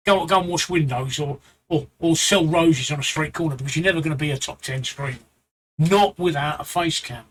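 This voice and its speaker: A man's voice: a little high, a bit bright, breathy edge, rough recording. A man with a London accent giving blunt streaming advice live, repeating himself and rambling into asides. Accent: London English. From England.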